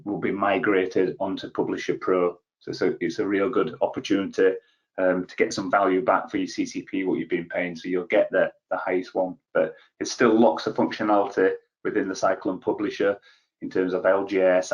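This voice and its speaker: A man on a webinar panel explaining product changes in a steady, practical way, often repeating words as he goes. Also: sits low. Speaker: software product expert. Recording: dull lo-fi call audio.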